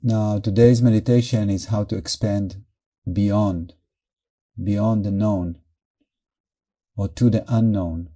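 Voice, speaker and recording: Very mellow, soft-edged male meditation guide. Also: fairly low pitch. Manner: calm, measured, short phrases with pauses. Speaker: meditation teacher.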